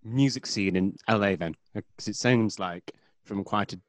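A man's voice: fairly low pitch, a little slow, dry recording. Formal-sounding male podcast host. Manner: formal, slightly florid phrasing. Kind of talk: interview questions.

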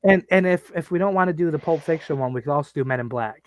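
A man's voice: fairly high pitch, fast, dry recording. Crude, rambling man in his thirties. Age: 30s. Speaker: podcast co-host. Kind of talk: casual crude banter.

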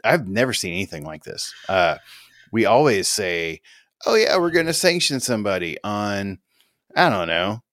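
Low-voiced opinionated man. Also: sing-song, moderate pace, a bit bright, no room sound, studio quality. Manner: rambling, opinionated, swears freely. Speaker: podcast co-host. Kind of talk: casual chat.

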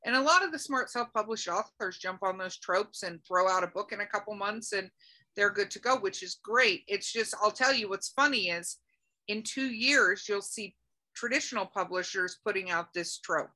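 Bright-voiced chatty woman podcast host. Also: mid-range voice, moderate pace. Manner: chatty, joking, animated. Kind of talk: casual podcast interview.

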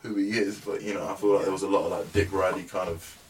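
A man's voice: deep voice, fast, recorded from a distance. A young man, talking casually as a musician guest on a podcast, full of fillers. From England. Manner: relaxed, chatty, many fillers like "you know what I mean".

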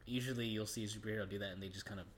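A man's voice: fairly low pitch, fairly even intonation, very fast, very noisy. A young man co-hosting a podcast, chatting about a movie in an easygoing, slangy way. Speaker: podcast co-host. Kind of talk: casual movie chat.

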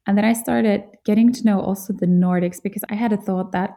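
A woman's voice: medium pitch, steady tone, brisk, gravelly, mellow. A woman from Finland hosting a podcast, telling her story in an earnest, reflective way. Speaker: podcast host. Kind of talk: solo podcast monologue.

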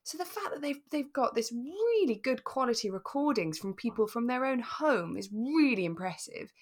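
A woman's voice: on the high side, lively intonation, moderate pace. A British woman chatting casually and enthusiastically as a podcast co-host.

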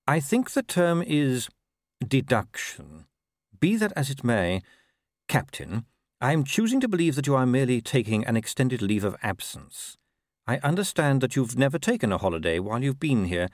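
A man voicing a wily, urbane ruler of a city in an audiobook, measured, deadpan and ironic. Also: medium pitch, no echo, studio quality, voice pushed up, close to an everyday voice. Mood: calm, knowing.

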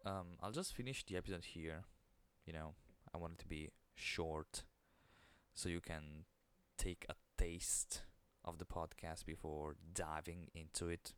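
A man with an Italian accent hosting a podcast alone, keeping his voice low and soothing, with an occasional stutter into the microphone. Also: fairly even intonation, unhurried, fairly bright tone, smooth. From Italy. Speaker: podcast host. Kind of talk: casual solo monologue.

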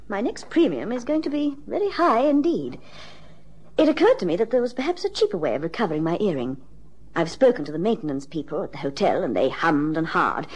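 Radio play role: demanding upper-class British client, husky voice, lively intonation, precise and frank. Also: female voice, mid-range voice, low-quality recording. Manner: refined, precise, insistent. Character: wealthy married lady of forty, demanding, frank, captivating. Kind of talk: radio drama acting.

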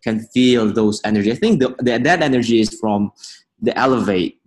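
A man in his twenties from Indonesia, an interview guest speaking earnest, halting English. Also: medium pitch. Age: 20s. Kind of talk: casual interview.

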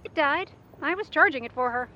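A woman's voice: sits high, expressive, brisk, sharp tone. A woman playing a casual, matter-of-fact young friend in an audio drama, with a breezy manner. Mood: casual, insistent. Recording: dull, band-limited sound.

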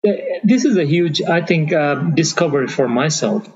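A man from Bangladesh whose first language is Bengali, speaking thoughtfully in an interview and pausing often to find his words. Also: a little high, expressive, a little mellow, husky. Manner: thoughtful, searching for words with frequent fillers. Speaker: interview guest. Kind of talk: conversational interview. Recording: long reverb, muffled lo-fi sound.

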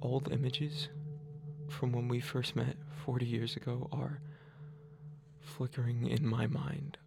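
An actor playing a seventy-year-old Syrian father, a retired teacher, wounded and pleading in a halting, emphatic monologue over music. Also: medium pitch, level tone, gravelly. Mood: sad, quiet pleading. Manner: halting, emphatic, repeating phrases, long pauses. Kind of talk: dramatic phone-call monologue.

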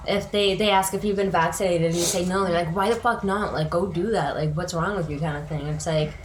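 A woman co-hosting a casual chat, her voice raspy, talking frankly and laughing often. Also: on the low side, fairly even intonation, fairly quick, slight background noise, poor audio. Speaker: podcast co-host.

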